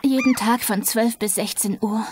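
A woman's voice: on the high side, steady tone, normal speed, darkish tone, slightly rough, dry recording. A young woman playing a shaken paid companion in a German radio drama, anxious and fragile in her delivery. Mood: shocked, grieving. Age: young adult.

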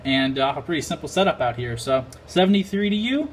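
A man's voice: on the high side, animated, a little fast. An American man talking casually and a little hesitantly as an amateur radio vlogger, on a handheld camera indoors. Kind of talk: casual vlog talk. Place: small apartment room, indoors. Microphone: handheld camera mic. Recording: clear background noise, handheld camera.

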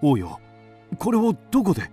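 A man's voice: sits high, huge pitch swings, normal speed, dark tone, slightly rough, soft background music, full character voice. A man playing a loyal, driven master swordsmith, earnest and intense, in a radio drama. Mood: deferential, awed.

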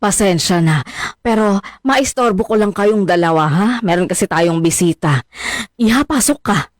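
A woman's voice: medium pitch, lively intonation, normal speed, no echo, clean studio sound, close to an everyday voice. An actress playing a cheerful, teasing mother of a grown son in a radio drama. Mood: welcoming.

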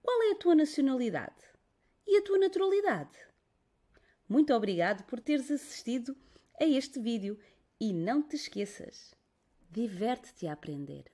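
A woman's voice: a little high, very animated, warm tone. A woman from Portugal with a European Portuguese accent, patiently narrating a children's lesson. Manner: slow, patient, explaining to children. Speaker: teacher narrator.

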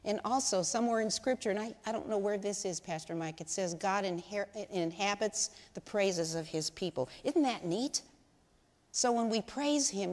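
A woman's voice: medium pitch, darkish tone. An older woman giving a chatty, folksy talk to a congregation in a large church sanctuary, on a lapel mic. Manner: chatty, folksy, asks the audience questions. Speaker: lay speaker at women's service. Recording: long hall reverb in sanctuary.

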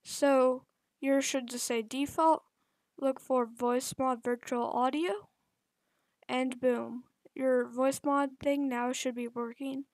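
Young female gaming host, high voice, unhurried halting tutorial delivery with lots of ums, dry close sound. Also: level tone, a little mellow, no room sound. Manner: halting, many fillers and restarts. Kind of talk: casual screen-recorded software tutorial.

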